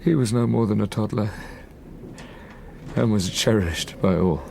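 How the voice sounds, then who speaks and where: An actor playing a dying, tormented man who gravely narrates his past in a formal audio drama. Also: on the low side, measured pace, mellow, a little hiss or hum. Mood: wistful, brooding.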